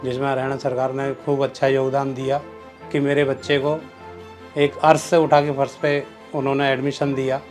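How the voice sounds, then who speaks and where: A man's voice: medium pitch, monotone, normal speed, darkish tone, soft background music. A middle-aged man, a parent, speaking gratefully in an interview.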